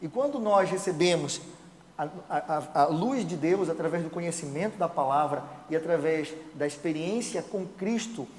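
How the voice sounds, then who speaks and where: A man teaching a Sabbath school class, reading scripture and explaining it in a measured way with rhetorical questions. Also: fairly high pitch, lively intonation, slight background noise. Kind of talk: Bible study discussion. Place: church sanctuary. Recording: echoey church hall with long reverb.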